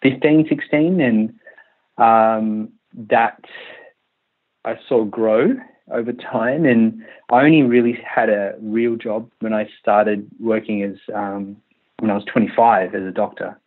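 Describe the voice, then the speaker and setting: A man in his thirties with an Australian accent, a country doctor answering interview questions in a hesitant, halting way with many fillers. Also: fairly low pitch, fairly even intonation. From Malaysia, raised in Western Australia. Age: 30s. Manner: hesitant, many restarts and fillers, laughs easily. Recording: tinny phone line.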